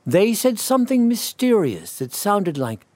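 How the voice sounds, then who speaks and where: Casting: male narrator for children's classics, animated and expressive, taking his time over each phrase. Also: sits high, dry recording. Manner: expressive, measured storytelling. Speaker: storyteller. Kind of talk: scripted children's storybook narration.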